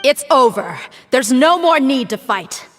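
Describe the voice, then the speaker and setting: An actress playing a brash, tough mercenary warrior who trades cocky battle taunts and talks bluntly in a game. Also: female voice, high voice, sing-song, a little slow. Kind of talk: game voice acting. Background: game music.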